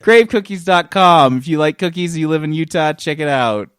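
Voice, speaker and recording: An American man co-hosting a podcast with casual, sweary comedic banter in an animated manner. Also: fairly high pitch, normal speed, clear, resonant tone, dry recording, studio quality. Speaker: podcast co-host.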